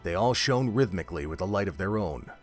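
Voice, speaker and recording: A man's voice: deep voice, animated, brisk, soft background music. A man reading scripted narration for an audio drama, telling the story in prose.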